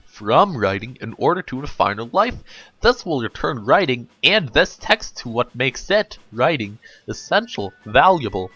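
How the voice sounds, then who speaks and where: A man's voice: a little high, sharp tone. A man voicing an earnest girl leader from a storybook, lecturing in a pompous, professorial, emphatic way.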